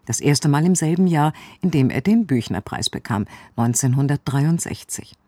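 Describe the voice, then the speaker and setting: Presenter with a dry, mellow sound. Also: medium pitch, expressive, moderate pace, dry recording. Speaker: radio presenter introducing an audio drama. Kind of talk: scripted narration.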